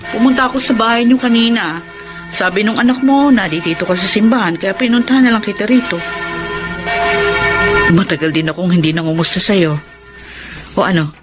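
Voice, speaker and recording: A woman's voice: mid-range voice, brisk, long pauses, loud music behind the voice, close to an everyday voice. A Filipino woman playing a frank, protective middle-aged confidante with a sarcastic edge in a Tagalog radio drama. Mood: nosy, sarcastic. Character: blunt, nosy, protective older woman confidante.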